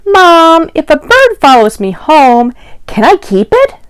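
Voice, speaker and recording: An eager young child from a picture book, acted by a woman, pleading in sing-song questions. The voice is pitched high and swoops upward. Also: very animated, slow pace, no echo, full character voice.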